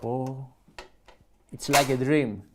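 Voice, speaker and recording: A man from Greece with a Greek accent, chatting casually through a live-stream unboxing and reading the manual aloud with halting restarts. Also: medium pitch, background noise. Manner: relaxed, halting, restarts and self-corrections while reading. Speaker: music gear reviewer.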